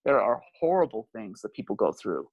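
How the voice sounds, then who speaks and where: A man's voice: lowish voice, big pitch swings, brisk, breathy edge, no room sound, phone-quality sound. Reflective man in his thirties, dry sound. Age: 30s. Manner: reflective, laughs often, swears casually. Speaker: guest, family therapist. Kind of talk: candid conversational interview.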